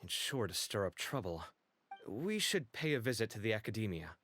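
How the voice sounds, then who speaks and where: Animated, earnest young man. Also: on the low side, normal speed. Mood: troubled, determined. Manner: emphatic, conversational. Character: expressive, earnest young man, worried and quick to question. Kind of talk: video game voice acting.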